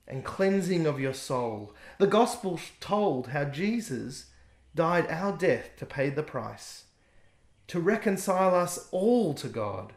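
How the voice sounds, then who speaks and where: Guy reading a rhyming kids book out loud, slow and lively, kinda echoey room. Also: a little high. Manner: gentle, expressive read-aloud. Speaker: author reading his book. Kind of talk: rhyming storybook narration.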